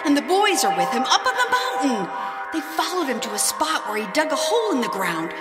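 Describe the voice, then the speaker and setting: A woman playing a dreamy, tender wife and mother in an audio drama, speaking in halting, breathless exclamations as if seeing visions. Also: high voice, big pitch swings. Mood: entranced, urgent. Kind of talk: emotive audio drama acting. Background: loud music.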